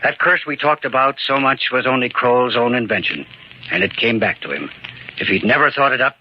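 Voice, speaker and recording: An actor playing an earnest, determined doctor who investigates, in emphatic 1940s radio mystery style. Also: male voice, medium pitch, fairly quick, close to an everyday voice. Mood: calm explaining. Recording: muffled, noisy 1940s radio recording.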